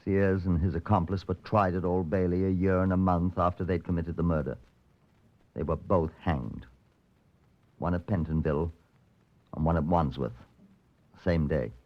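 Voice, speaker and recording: A formal British police detective from a fifties radio crime drama, played by a man. He speaks low, in measured, matter-of-fact sentences. The recording has a dull, muffled old radio sound. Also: fairly even intonation, natural voice. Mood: grave, matter-of-fact. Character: formal male British police detective narrating his case.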